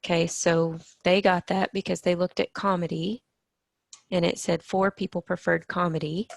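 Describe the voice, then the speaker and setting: Brief: hoarse, gravelly-voiced woman math teacher, lower pitch, patient step-by-step explaining, dry close sound. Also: level tone, normal speed, no echo. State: slightly hoarse from allergies. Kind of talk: recorded math lesson.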